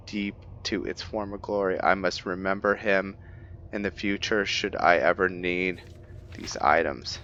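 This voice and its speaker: A man with a very low, bright-edged voice reads game journals aloud slowly, stumbling and correcting himself as he goes. The mic sounds dull and lo-fi, with faint noise underneath. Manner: halting reading with frequent self-corrections. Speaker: gamer playing and narrating. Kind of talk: roleplay let's play, reading in-game journals aloud.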